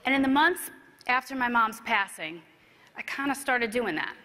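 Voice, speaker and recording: Female motivational speaker, 30s, fairly high and bright, rehearsed keynote storytelling in a reverberant hall. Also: expressive, normal speed. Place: convention stage, indoors. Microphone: clip-on lapel mic. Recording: echoey large hall.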